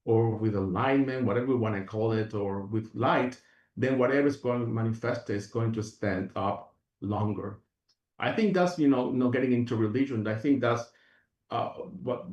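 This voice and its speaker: Guest from Venezuela, earnest rambler with restarts, a bit far off the mic. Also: male voice, lowish voice, roomy sound. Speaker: guest, functional medicine practitioner. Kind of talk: reflective interview talk.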